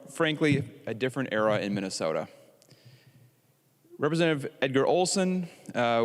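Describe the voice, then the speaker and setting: An American man, a legislator, gives a formal memorial tribute in a measured, halting way. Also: medium pitch. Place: large legislative chamber. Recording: reverberant large chamber hall.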